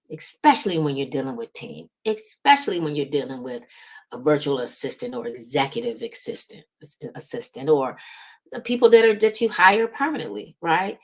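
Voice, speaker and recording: A woman with a low-pitched voice gives motivational business coaching. She speaks emphatically, repeating key words to drive a point home and frequently tagging her sentences with a quick check-in to the listener. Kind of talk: motivational business advice talk.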